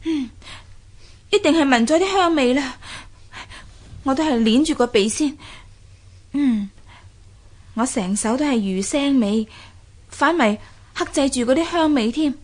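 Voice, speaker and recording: High-voiced, curious young fisher maiden. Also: female voice, a little slow, long pauses. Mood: wary, relieved. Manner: expressive, exclaiming, sighing. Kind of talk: Cantonese radio drama acting.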